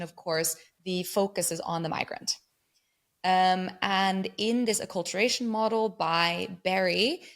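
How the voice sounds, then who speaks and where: A woman's voice: on the low side, fairly bright tone. A woman from England and Germany, a guest researcher, explaining ideas step by step in a thoughtful conversational way. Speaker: guest researcher on migrant mental health.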